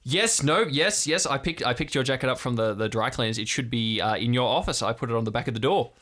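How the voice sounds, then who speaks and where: A man's voice: mid-range voice, quick pace, a bit bright, close to an everyday voice. A man playing a flustered, apologetic, deferential police officer who stammers and hesitates in an improvised audio drama. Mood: nervous, deferential.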